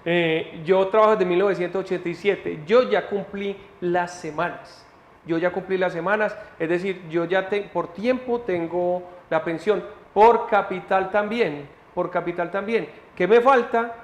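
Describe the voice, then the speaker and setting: A man's voice: high voice, a little slow. A Colombian man in his fifties co-hosting a talk show, asking chatty questions and repeating himself as he uses his own life as an example. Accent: Colombian Spanish. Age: 50s. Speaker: co-host. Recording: echoey studio with faint noise.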